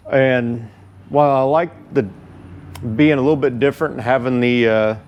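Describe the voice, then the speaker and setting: A man giving a casual product review as a motorcycle vlogger, speaking informally and haltingly. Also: medium pitch, a little mellow, smooth, lo-fi sound. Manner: informal, halting, unhurried delivery.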